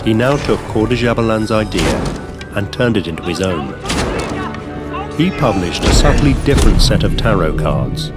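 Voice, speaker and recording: A man reading scripted documentary narration in a measured, storytelling manner. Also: lowish voice, expressive. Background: loud music.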